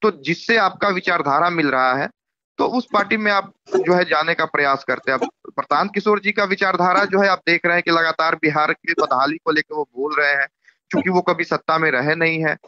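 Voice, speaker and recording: Male interviewee, Bihari accent. Tense bright delivery, explanatory and repetitive, over a dry, close phone line. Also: a little fast, no echo. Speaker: YouTuber. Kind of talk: informal political commentary. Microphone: phone call. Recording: muffled low-bandwidth phone call recording.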